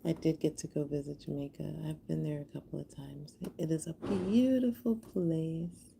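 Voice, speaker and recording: A woman's voice: deep voice, fairly even intonation, normal speed, creaky, mellow, a little hiss or hum. An American woman from Houston, Texas, chatting casually and affectionately with viewers as she hosts a live art stream. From Houston, Texas, USA. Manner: affectionate, appreciative, addresses viewers directly. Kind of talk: casual livestream chat with viewers while making art.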